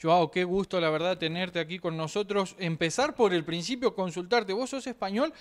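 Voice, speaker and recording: Male interviewer, South American accent, notably high pitch, conversational sports-chat delivery. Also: moderate pace. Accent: South American Spanish. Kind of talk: casual interview questions.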